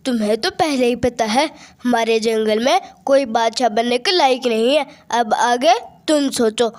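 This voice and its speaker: A woman's voice: fairly high pitch, unhurried, darkish tone, natural voice. A woman voicing a frank, resigned male forest bird who talks matter-of-factly in a cartoon story.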